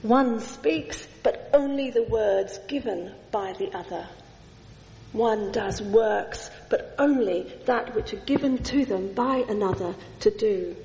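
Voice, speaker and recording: A middle-aged woman preaching a sermon in a church, measured, with slow emphatic lists. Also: mid-range voice, warm tone. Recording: echoey church hall.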